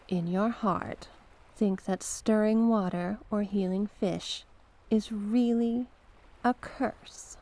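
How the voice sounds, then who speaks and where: A woman playing a mysterious banished sea witch in an ASMR roleplay, coaxing and knowing, with a teasing, deliberate manner. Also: medium pitch, warm tone, natural voice. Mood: sly, teasing. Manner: slow, teasing, deliberate, coaxing with pet names. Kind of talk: ASMR character roleplay monologue.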